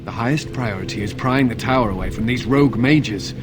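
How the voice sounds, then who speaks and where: An actor playing a stern, dutiful captive knight in a fantasy video game, grave and formal. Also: male voice, medium pitch, brisk, music in the background, natural voice. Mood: grim resolve.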